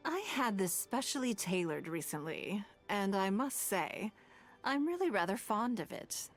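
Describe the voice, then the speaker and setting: A woman voicing a wealthy, shrewd, self-assured noblewoman in a video game, speaking in a measured, formal way with calm, teasing poise. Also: mid-range voice. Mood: pleased, expectant. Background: game background music.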